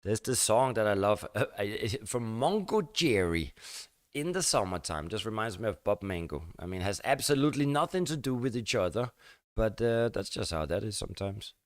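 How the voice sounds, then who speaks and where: Rambling older man, low voice. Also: big pitch swings, no echo, studio quality. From Denmark. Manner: halting and rambling, many fillers and restarts. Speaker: live stream host. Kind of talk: rambling live-stream chat with viewers.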